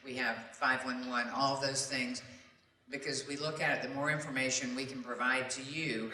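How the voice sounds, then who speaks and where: A man's voice: medium pitch, steady tone, normal speed, sharp tone. A middle-aged man speaking on a panel as a traffic reporting veteran, telling anecdotes in a chatty, folksy way. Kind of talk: animated anecdotal panel talk. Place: large room at a public meeting. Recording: roomy echo, distant mic, background noise.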